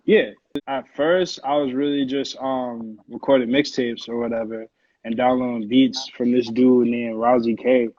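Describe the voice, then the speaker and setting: A man's voice: mid-range voice, steady tone, measured pace. A man in his twenties with an American accent, chatting casually as an interview guest, with slangy, profane speech. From Connecticut, United States. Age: 20s. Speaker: interview guest, music producer. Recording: dull, roomy lo-fi call audio.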